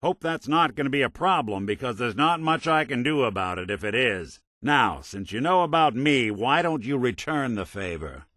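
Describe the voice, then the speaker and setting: A man's voice: medium pitch, expressive, moderate pace, hardly any pauses, fairly bright tone, dry recording, close to an everyday voice. A man voicing a wry, world-weary old synthetic detective in a video game, with a hard-boiled American drawl and dry sarcasm. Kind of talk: video game character acting, noir detective.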